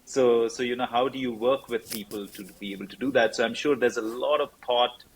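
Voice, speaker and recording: A man's voice: mid-range voice, fairly even intonation, fairly quick, a little hiss or hum, poor audio. A man hosting a podcast interview, rambling and repeating words as he works his way toward his question. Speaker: podcast host.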